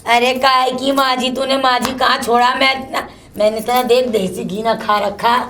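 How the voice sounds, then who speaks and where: A woman's voice: steady tone, normal speed, a bit bright. A woman playing a nagging old mother-in-law. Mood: scolding. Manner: shrill, cursing, complaining. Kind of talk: comic one-woman sketch.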